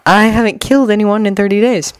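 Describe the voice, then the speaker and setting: Woman as unsettling teenage ninja boy, deadpan, matter-of-fact, dry close recording, story reading. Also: medium pitch, fast, smooth, no room sound, lightly acted.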